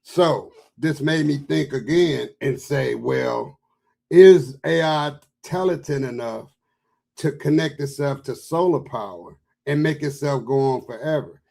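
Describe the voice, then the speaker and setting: Male panelist, gravelly voice; emphatic, rambling, presses to be heard. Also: medium pitch, a little slow, a little mellow. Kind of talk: casual panel discussion.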